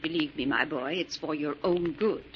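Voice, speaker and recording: An actress playing a wealthy, stern but caring older aunt in an old-time radio drama, speaking in a genteel, formal way. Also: female voice, deep voice, moderate pace, close to an everyday voice. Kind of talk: 1940s radio drama acting. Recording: muffled, noisy old radio recording.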